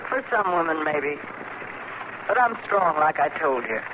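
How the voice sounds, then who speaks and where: An actress playing a strong-willed, eerie frontier farm widow with a rural American accent, folksy and solemn, in a radio Western. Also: female voice, sits low, very animated, measured pace, highs cut off. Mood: solemn, fateful. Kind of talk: old-time radio Western acting. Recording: noisy vintage radio recording.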